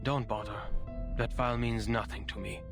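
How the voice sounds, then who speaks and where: A man voices a world-weary human character, his delivery dry and sarcastic at a middling pitch. Game music plays clearly beneath him. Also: steady tone, normal speed, natural voice. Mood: sardonic. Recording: game audio with music behind.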